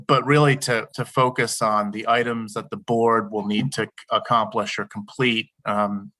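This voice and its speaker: A middle-aged man presenting a report at a board meeting over a video call, slightly raspy from a summer cold, hesitant with many ums. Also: lowish voice, bright, forceful tone, rough recording. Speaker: government affairs director.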